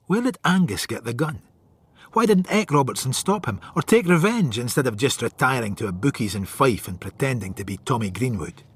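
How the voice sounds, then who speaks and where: An actor playing a dogged, sardonic police inspector in a radio drama, with a brusque, probing way of talking. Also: male voice, medium pitch, breathy edge.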